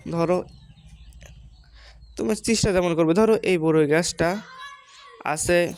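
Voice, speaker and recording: A woman's voice: on the low side, slow pace, darkish tone, a little hiss or hum. A woman with a Bangladeshi accent giving informal moral advice in a didactic, questioning way, repeating her points.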